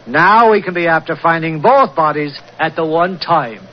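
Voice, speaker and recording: An actor with an Irish accent playing a self-satisfied, simple police sergeant in a 1940s radio drama. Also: male voice, on the high side, normal speed, natural voice. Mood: smug. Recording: noisy, muffled 1940s radio recording.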